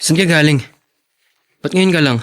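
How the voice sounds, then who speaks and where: A man's voice: a little high, moderate pace, a bit bright, natural voice. An actor playing a poor, earnest teenage boy in an audio drama, sincere and plain-spoken, devoted to his love. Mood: weary, caring. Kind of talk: Tagalog audio drama acting.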